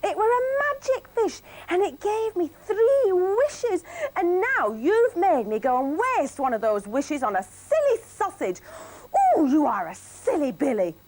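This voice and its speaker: Shrill fisherwife, high and fretting, voiced by a woman reading a storybook. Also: huge pitch swings, a little slow, heavily acted. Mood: exasperated. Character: flustered fisherwoman wife, middle-aged, exasperated.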